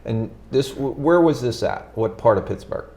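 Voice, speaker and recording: Gravelly male local TV host. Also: mid-range voice, lively intonation, normal speed, warm tone. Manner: friendly, conversational questioner. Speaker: TV interview host. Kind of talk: casual interview.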